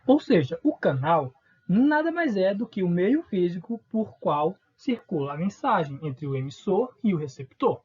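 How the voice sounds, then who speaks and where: A male teacher with a Northeastern Brazilian accent gives a recorded lesson in a relaxed, informal tone. His voice is husky and the pitch moves a lot, and he asks the class questions that he then answers himself. The recording sounds dull and lo-fi. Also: moderate pace. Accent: Northeastern Brazilian Portuguese.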